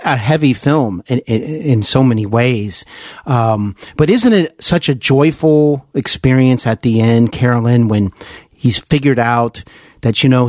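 A man hosting a conversational interview, speaking in wordy, effusive, halting phrases. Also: mid-range voice, darkish tone, no echo, clean studio sound. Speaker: interview host.

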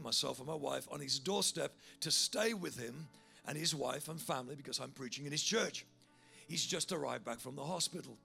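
A man's voice: mid-range voice, gravelly. A man preaching a sermon, telling a story and leading a call to prayer in a big voice. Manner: animated storyteller, building to loud fervent altar call.

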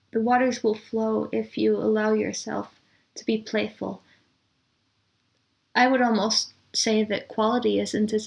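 Creaky, higher-voiced woman in interview. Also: darkish tone. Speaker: podcast guest, composer and audio producer. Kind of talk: conversational interview.

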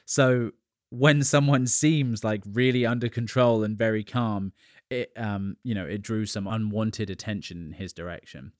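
Uk guy hosting a podcast, lowish voice, dry close mic, rambly and chatty with jokes. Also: a little slow, dry recording. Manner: chatty and conversational, with asides and little jokes. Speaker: podcast host. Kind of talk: casual solo podcast monologue.

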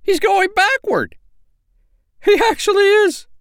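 A man's voice: animated, slow, fairly bright tone, dry recording, studio quality, exaggerated voice. A man voicing a hungry, cheeky young sandpiper chick in a childlike, exclamatory storybook voice. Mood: alarmed. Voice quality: high, squeaky put-on voice. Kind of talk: storybook character voice.

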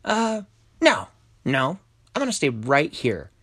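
A man's voice: a little high, huge pitch swings, slow pace, a bit bright, no room sound, studio quality, pitched above the performer's own voice, full character voice. A man voicing a sneaky, defiant lizard trickster who pretends to be a talking cake in a children's story. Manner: defiant, cheeky.

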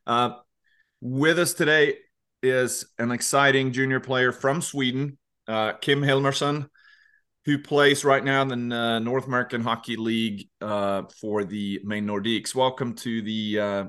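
A man hosting a podcast interview in a chatty way over a video call, often correcting himself and filling pauses with fillers. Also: medium pitch, fairly even intonation, a bit bright, smooth. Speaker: podcast host.

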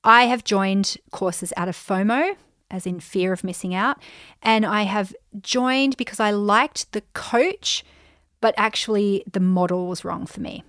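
An Australian woman in her thirties hosting a solo podcast, chatty and animated, her voice getting a bit croaky. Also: mid-range voice, measured pace, no echo, studio quality. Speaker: podcast host, business coach. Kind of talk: casual solo podcast, answering listener questions.

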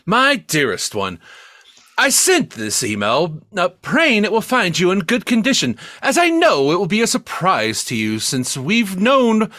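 A man's voice: high voice, wildly swooping pitch, a little slow, bright, forceful tone, no room sound, clean studio sound, heavily acted. A man voicing a florid spam-email writer with a mock-formal, overly earnest manner for a comedy podcast. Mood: earnest, pleading.

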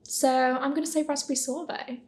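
A woman's voice: high voice, little pitch movement, normal speed. A woman, a podcast cast member, talking casually and informally among colleagues. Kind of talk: casual chat.

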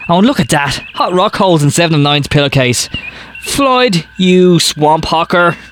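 A man's voice: animated, normal speed, fairly bright tone. A man playing an exasperated, nerdy college student in an audio drama, sarcastic and theatrical. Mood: lustful, theatrical. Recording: noisy, with ambient rumble behind.

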